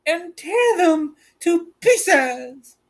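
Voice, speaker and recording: A man voicing an angry, commanding wicked witch from a storybook in a shrill falsetto cry. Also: big pitch swings, extremely slow, full character voice. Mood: commanding, fierce.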